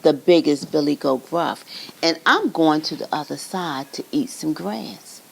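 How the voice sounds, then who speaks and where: A woman's voice: deep voice, expressive, unhurried, creaky, a little hiss or hum, full character voice, low-quality recording. A woman voicing the biggest billy goat, big and brave, speaking boldly and with a challenge.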